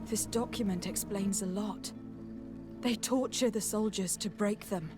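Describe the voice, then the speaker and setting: An actress playing a principled young noblewoman diplomat in a video game, earnest and protective, speaking in a measured, formal way. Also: medium pitch, over music, close to an everyday voice. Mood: grave.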